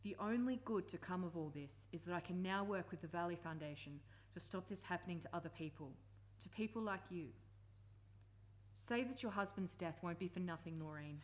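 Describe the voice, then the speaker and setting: A woman's voice: on the low side, fairly quick, natural voice. A woman acting an earnest, persuasive campaigner and former country teacher in a live radio play. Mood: earnest, sorrowful.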